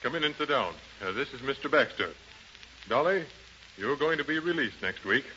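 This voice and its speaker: Forceful, kindly prison warden. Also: male voice, mid-range voice, lively intonation, moderate pace, highs cut off. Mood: brisk, reassuring. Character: brisk, kindly authoritative male prison warden. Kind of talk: 1930s radio drama acting. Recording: bright, noisy, band-limited 1930s radio recording.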